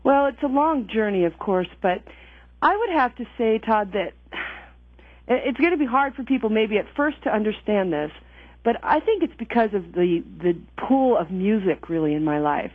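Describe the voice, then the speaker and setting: A woman calling in to talk through her own story at an ordinary pitch. She is earnest and reflective, often stopping to rephrase herself. The line is thin and phone-like, with a dry, close sound. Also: expressive, moderate pace, no echo. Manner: earnest, reflective, self-correcting. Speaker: guest. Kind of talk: personal testimony in conversation.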